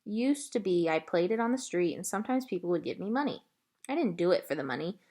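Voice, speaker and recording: Woman reading as a shy, lonely, gentle man. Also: sits high, expressive, fast talker. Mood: subdued, confessing. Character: shy, lonely, gentle male pet-store clerk, ex-prisoner.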